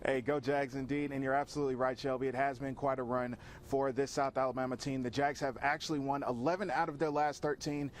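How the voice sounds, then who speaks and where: A man's voice: mid-range voice, little pitch movement, quick pace, few pauses, a little hiss or hum. A man reporting sports news, narrating feature stories in a polished broadcast delivery.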